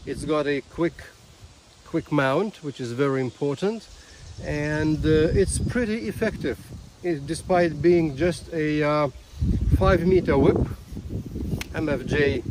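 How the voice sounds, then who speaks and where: A man gives a casual demonstration to camera in a halting way, repeating words, recorded outdoors in a field. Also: fairly high pitch, noisy background. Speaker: ham radio vlogger. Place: outdoors in a grassy field beside a van.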